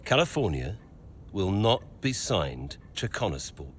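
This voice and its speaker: A man's voice: deep voice, big pitch swings, measured pace, slight background noise. An actor playing a cold, wealthy investor and father who speaks in a curt, clipped way. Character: cold rich male investor, older father.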